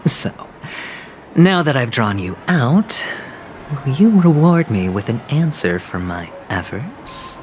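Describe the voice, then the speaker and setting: Blond hero, talks slow, sardonic, pitch moves around, game music under. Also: male voice, very animated, darkish tone, slight background noise, rough recording. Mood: defiant. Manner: deliberate, defiant, sardonic. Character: blond young male hero, bold and scheming. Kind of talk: video game voice acting.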